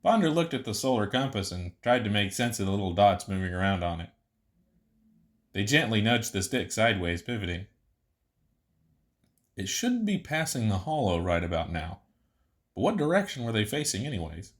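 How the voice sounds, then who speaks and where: A man's voice: fairly low pitch, sing-song, moderate pace, long pauses. A man reading scripted fiction narration as the narrator of an audiobook story.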